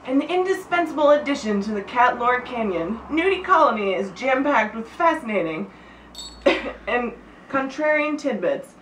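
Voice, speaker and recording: Woman, high voice, giggly informal read-aloud, roomy sound from a distant mic. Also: animated, normal speed, a little hiss or hum. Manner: giggly, often breaking into laughter. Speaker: reader of a birthday story. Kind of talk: reading aloud informally to friends.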